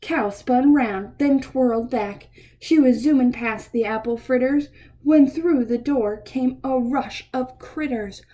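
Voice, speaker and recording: A woman reading a picture book aloud to children in an expressive way, pausing to ask her listeners questions. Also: sits high, low-quality recording. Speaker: read-aloud storyteller for children.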